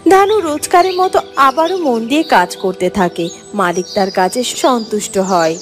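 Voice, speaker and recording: A woman's voice: fairly high pitch, normal speed. A woman giving scripted narration for a children's fairy-tale cartoon. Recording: music under the voice.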